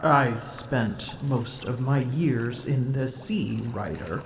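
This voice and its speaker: A woman's voice: rumbling low, roomy sound, a little hiss or hum, full character voice. A woman voicing a proud, smug female dragon in human form, haughty and teasing, in an ASMR roleplay. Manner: haughty, teasing, slow and deliberate.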